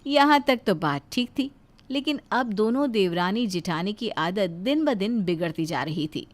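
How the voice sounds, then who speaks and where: A woman's voice: mid-range voice, animated, measured pace. A woman narrating a scripted moral story as its storyteller.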